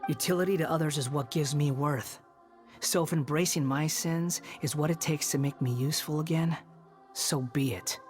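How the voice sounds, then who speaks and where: A man's voice: fairly high pitch, moderate pace, a little mellow, close to an everyday voice. An actor voicing a bitter, proud young male former villain in a video game, with a dry, aloof manner. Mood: sardonic. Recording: background music under voice.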